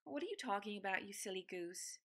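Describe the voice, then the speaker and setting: A woman's voice: mid-range voice, lively intonation, fairly quick, a bit bright. A woman playing a proper, motherly elder sister in an audio drama, with a prim, lilting way of speaking. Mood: scolding. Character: proper, motherly eldest sister, gently scolding. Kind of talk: theatrical audio drama acting.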